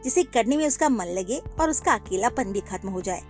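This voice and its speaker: A woman's voice: fairly high pitch, lively intonation, moderate pace, soft background music, close to an everyday voice. A woman voicing an older female bird aunt in a cartoon, giving kindly, advising talk.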